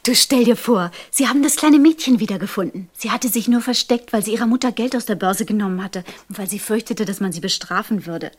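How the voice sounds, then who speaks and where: An actress playing a solicitous wife in an audio drama, speaking in a tender, coaxing, breathy way. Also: female voice, mid-range voice, a little fast, few pauses, close to an everyday voice. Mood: eager relief. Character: solicitous, gently chattering wife of a troubled man.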